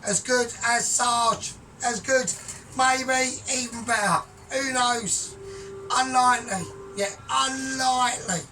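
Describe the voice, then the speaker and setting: A man talking through his cooking in a rambling, grandiose, sweary way, often trailing off quiet. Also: very high voice, fairly even intonation, slow pace. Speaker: home cook vlogger. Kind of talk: casual cooking vlog. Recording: distant mic with music behind.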